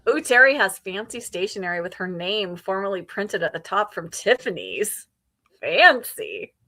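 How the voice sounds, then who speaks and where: A woman's voice: mid-range voice, big pitch swings, normal speed, sharp tone. A woman in her fifties hosting a casual, conversational livestream chat. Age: 50s. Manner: conversational, reads out and responds to viewer comments. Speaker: livestream host.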